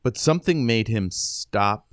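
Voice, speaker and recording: Podcast co-host, man, deep voice, unhurried delivery, close dry sound, casual book talk. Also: lively intonation, clean tone, no room sound. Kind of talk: casual book discussion with short read passages.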